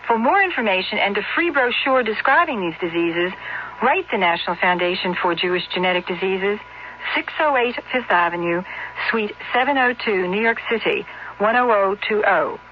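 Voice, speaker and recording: A woman's voice: mid-range voice, lively intonation, moderate pace. A woman reading a scripted public service announcement on the radio. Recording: muffled old radio, faint hiss.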